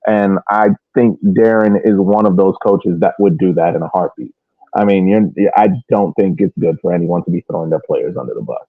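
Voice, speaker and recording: A man co-hosting a casual soccer podcast, speaking haltingly and repeating words as he forms his point. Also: sits low, dry recording. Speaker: sports podcast co-host. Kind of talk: casual fan chat about soccer. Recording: thin phone-like, radio-like call audio.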